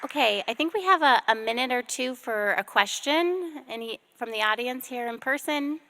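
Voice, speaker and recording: A woman moderating a live event, briskly handing out questions and announcing the next session. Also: on the high side, expressive, sharp tone. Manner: brisk, organizing. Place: conference room with in-person and online audience.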